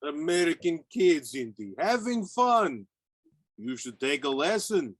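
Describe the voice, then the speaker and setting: A man's voice: on the high side, very animated, a little slow, no room sound, clean studio sound. A man voicing a jolly, teasing Russian friend in an amateur script reading, with a put-on Russian accent. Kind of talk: amateur script read-through.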